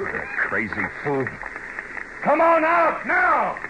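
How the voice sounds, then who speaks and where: An American man playing a stern, steady Old West marshal in a 1950s radio western, speaking tersely and with authority. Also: very high-pitched, slow pace, muffled recording, full character voice. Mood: urgent. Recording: noisy old radio drama recording.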